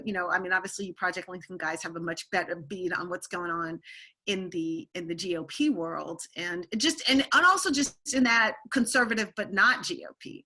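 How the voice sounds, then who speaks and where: Woman, podcast host, middle-aged, bright husky voice, animated, chatty restarts. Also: medium pitch. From born in Turkey to an American family. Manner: animated, many restarts and asides. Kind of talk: conversational interview.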